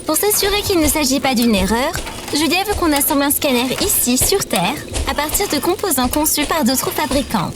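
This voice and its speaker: Woman playing a high, lively, eager robot companion. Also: normal speed, noisy background. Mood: matter-of-fact. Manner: enthusiastic, precise, explanatory. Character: cheerful female robot companion, eager, naively fierce. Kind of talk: audio fiction acting.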